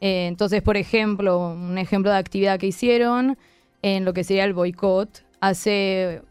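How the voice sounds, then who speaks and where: A woman in her thirties from Argentina, with an accent mixing Argentine and Spanish, explaining her work as a guest lawyer in measured, hesitant answers. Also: mid-range voice, fairly even intonation, a little mellow, clean tone, dry recording, soft background music. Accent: mixed Argentine and Spanish. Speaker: interview guest, human rights lawyer.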